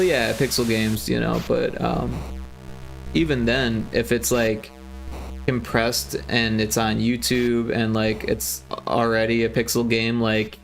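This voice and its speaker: Casual streamer, man in his forties, gravelly voice, chatty and rambling, soft music underneath. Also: medium pitch, low-quality recording. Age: 40s. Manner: rambling, chatty, lots of fillers and restarts. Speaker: livestreaming game developer. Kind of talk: casual livestream chat.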